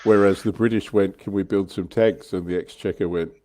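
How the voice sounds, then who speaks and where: A man's voice: low voice, fairly even intonation, fairly quick, warm tone. A man chatting casually as a livestream host, with a wry, joking manner and blunt slang. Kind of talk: casual hobby chat and commentary.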